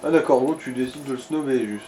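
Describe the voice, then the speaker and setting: A man's voice: medium pitch, quick pace, dark tone, slight background noise. A man giving casual commentary and advice to someone playing a video game. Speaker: co-commentator advising the player. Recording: echoey room, music behind.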